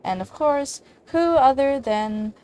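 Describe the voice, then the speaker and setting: A woman's voice: sits high, lively intonation, slow, darkish tone. A woman game streamer chatting casually on a livestream in a rambling, giggly way.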